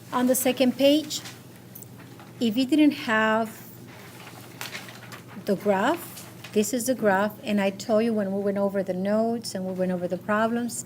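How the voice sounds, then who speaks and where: A woman's voice: mid-range voice, a little mellow. A woman teacher explains math solutions to her class in a mid-range voice. She moves step by step, posing short questions as she goes. The classroom recording carries some faint background noise. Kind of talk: classroom lesson going over quiz answers.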